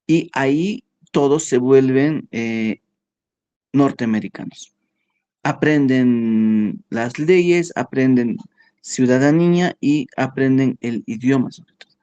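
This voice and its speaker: A man giving a philosophy workshop lecture, talking in a halting way and checking in with his listeners all the time. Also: mid-range voice, mellow, clear, resonant tone, highs cut off. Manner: slow, halting, with frequent "¿no?" and "¿ya?" checks.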